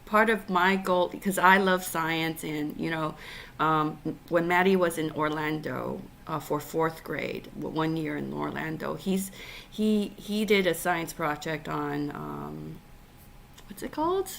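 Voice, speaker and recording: A woman from Taiwan hosting a casual conversation over a video call, talking in halting bursts full of fillers and restarts. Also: low voice, creaky, fairly bright tone, slight background noise. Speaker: podcast host.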